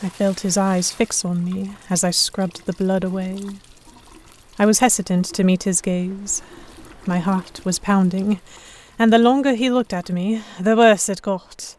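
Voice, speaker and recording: A woman's voice: mid-range voice, steady tone, a little slow, a little mellow, slight background noise, natural voice. A woman playing an earnest, emotive noblewoman heroine who narrates an audio drama in the first person. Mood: tense, reflective, then flustered, vexed. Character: delicate young noblewoman, vexed, earnest, stubborn romantic heroine.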